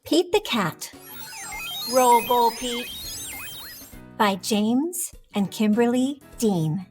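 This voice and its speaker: A woman reading a children's picture book aloud in an expressive, deliberate storytelling manner for young listeners. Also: on the high side, soft background music.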